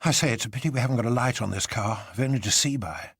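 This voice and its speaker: A man voicing a brisk, alert young doctor in an adventure audiobook. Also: medium pitch, fairly bright tone, husky, no echo.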